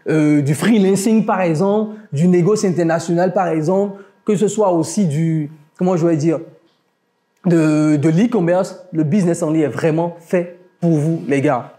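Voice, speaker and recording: Male entrepreneur, West African accent, mellow tone, emphatic motivational podcast talk. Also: a little high, normal speed. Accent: West African French. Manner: emphatic, motivational, addresses listeners directly. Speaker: online business entrepreneur. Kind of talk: casual podcast conversation.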